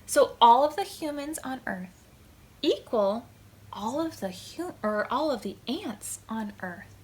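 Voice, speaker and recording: Slow-paced woman reading to young children. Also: a little high, slight background noise. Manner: deliberate, expressive phrasing with emphasis for small children. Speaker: children's librarian hosting preschool storytime. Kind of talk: read-aloud of a picture book for young children.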